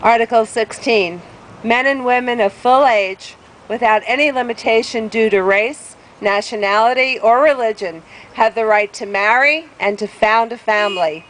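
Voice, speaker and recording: A woman's voice: a little high, moderate pace, fairly bright tone. A woman reading a formal document aloud at a public event in an outdoor plaza. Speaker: public reader at a rights event. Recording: noisy outdoor ambient recording.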